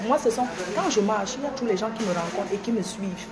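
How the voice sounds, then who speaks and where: A woman's voice: mid-range voice, animated, fast, a loud crowd. Emphatic Cameroonian woman at a station. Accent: Cameroonian French. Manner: emphatic, repetitive, lecturing viewers directly. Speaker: social media personality. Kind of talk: live video monologue to followers. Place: train station. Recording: noisy station ambience behind her.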